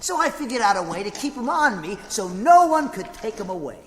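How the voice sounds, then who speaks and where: An actor playing a blunt, cocky, slangy brawler sidekick in an old-time radio adventure, with an excitable manner. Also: male voice, high voice, a little fast, in a large hall, slight background noise. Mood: cocky, grumbling. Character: brawny, scrappy, blunt comic sidekick.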